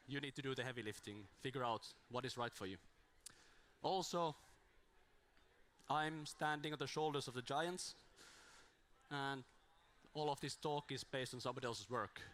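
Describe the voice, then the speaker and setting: A man's voice: mid-range voice, a bit bright. A man with as his giving an informal, self-deprecating conference talk on a handheld mic in a small room, his voice strained from talking too much. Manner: self-deprecating, rambling with restarts. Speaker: conference speaker.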